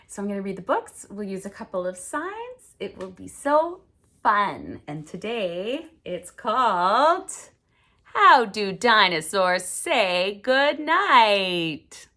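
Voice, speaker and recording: A middle-aged woman hosting a storytime for small children, reading aloud in an expressive, exaggerated way with dramatic pauses. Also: mid-range voice. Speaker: host of a children's sign language storytime.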